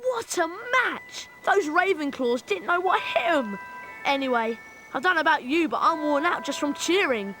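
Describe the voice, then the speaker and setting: An actor voicing a chatty, excitable English schoolboy in a video game, down-to-earth and colloquial. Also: sits high, normal speed, noisy background. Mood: excited, chatty.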